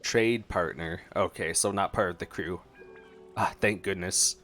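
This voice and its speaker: A man gives offhand gameplay reactions in a fairly low voice. He is wry and jokey, commenting as he goes, with faint game music behind him. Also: unhurried, fairly bright tone. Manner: wry, joking asides. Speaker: gameplay streamer.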